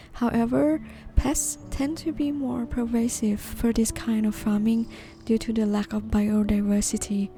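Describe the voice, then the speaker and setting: Woman, mellow tone, fairly high pitch, measured explanatory narration, faint background music. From northeastern Thailand. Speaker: gardening vlogger. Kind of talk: calm scripted voice-over narration.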